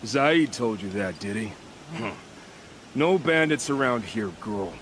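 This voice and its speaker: An actor playing a gruff, sly, menacing bandit guard in a video game. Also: male voice, fairly low pitch, animated, unhurried, a bit bright, a little hiss or hum.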